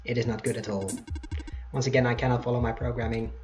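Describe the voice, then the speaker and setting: A polite old robot from a video game, acted out by a let's player. It speaks formally and flatly, bound to its programming, with music underneath. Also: mid-range voice, fast talker, pitched below the performer's own voice, lightly acted. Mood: stiff, resigned.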